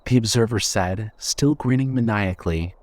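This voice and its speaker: A man narrating dark fiction from a script in a dramatic storytelling style. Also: fairly low pitch, normal speed, a little hiss or hum.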